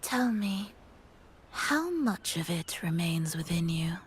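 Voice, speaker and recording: A woman's voice: lowish voice, very animated, slow pace, husky, full character voice. An actress playing a cool, melancholy noblewoman in a video game cutscene, restrained and formal. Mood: cold, questioning.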